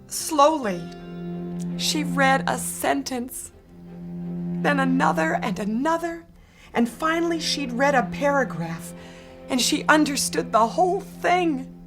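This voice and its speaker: A woman reading a children's picture book aloud in a gentle, expressive storytelling manner. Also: high-pitched. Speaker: storybook reader. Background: soft guitar music.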